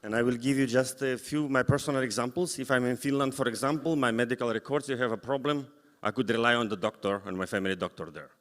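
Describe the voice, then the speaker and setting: A man who is a non-native English speaker moderates a live conference panel, rephrasing haltingly as he goes. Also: medium pitch. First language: not English. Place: large indoor conference hall. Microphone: event microphone. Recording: echoey hall sound system.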